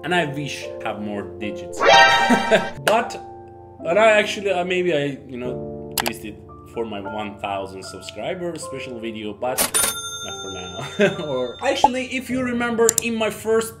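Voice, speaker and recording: A young man from Iran, a native Persian speaker, chatting casually to camera in halting, Persian-accented English as a YouTube host. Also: medium pitch, very animated, over loud music. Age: young adult. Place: small home office, indoors.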